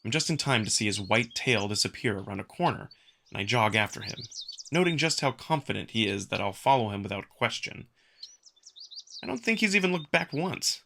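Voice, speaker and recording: A man's voice: fairly low pitch, very animated, a little fast, creaky, pushed and bright, slight background noise. A man reading scripted first-person narration for a visual novel as the narrator.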